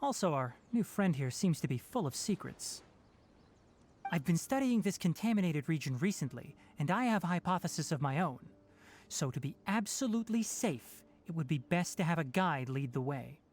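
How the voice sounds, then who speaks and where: A calm, scholarly young forest ranger. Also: male voice, fairly high pitch. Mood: reasoned. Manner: measured, analytical, matter-of-fact. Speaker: forest watcher. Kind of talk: game cutscene voice acting.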